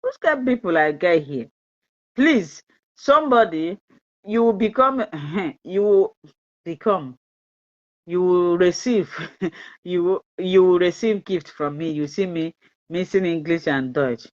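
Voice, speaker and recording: A woman's voice: lowish voice, animated, slow pace, long pauses. A Nigerian woman whose first language is Igbo, hosting a live-stream fertility talk in a chatty, repetitive way and slipping into pidgin. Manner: chatty, repeats herself, mixes in pidgin, laughs often. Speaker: fertility channel host. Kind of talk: live-stream advice talk, reading viewer comments. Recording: dull lo-fi live-stream audio.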